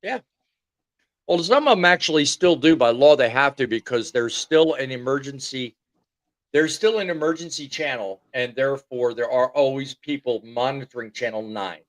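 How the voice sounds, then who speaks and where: A man hosting a live casual panel chat, a former truck driver telling stories with animated delivery. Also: medium pitch, normal speed, no echo.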